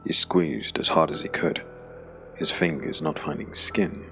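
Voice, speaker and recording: A man narrating a dark fantasy audiobook drama in measured, weighted phrases. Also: very deep voice, little pitch movement, vocal fry. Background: background music.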